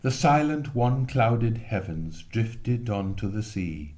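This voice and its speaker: A man reading a literary story expressively, with measured, lyrical phrasing. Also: fairly low pitch, animated, a little mellow. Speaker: reader.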